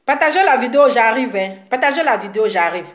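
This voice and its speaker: A woman's voice: fairly high pitch, expressive, fast talker, reverberant. A woman, slightly sick with a cold, scolding her followers in a heated, repetitive live video rant. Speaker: social media commentator.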